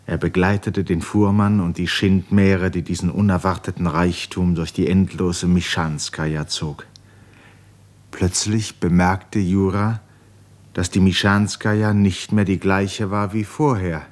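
Low-voiced male radio play narrator. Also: flat delivery, a little slow, darkish tone.

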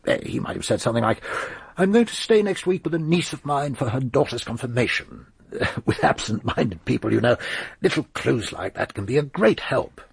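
An actor in a British radio drama playing a genial, shrewd police sergeant, husky and gravelly, with an unhurried, folksy way of probing. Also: male voice, mid-range voice, very animated, no echo, full character voice. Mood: gently probing. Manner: unhurried, folksy, gently persistent questioning.

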